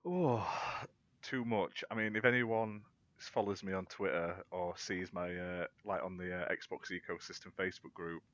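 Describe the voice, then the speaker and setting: A man's voice: deep voice, steady tone, moderate pace, fairly bright tone, muffled recording. A British man co-hosting a casual gaming chat, chatty and easygoing, with a laugh now and then. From United Kingdom. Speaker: podcast co-host.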